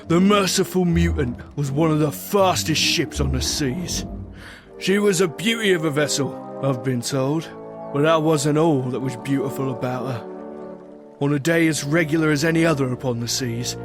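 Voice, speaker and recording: Higher-pitched male storyteller over music. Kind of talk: scripted dramatic story narration.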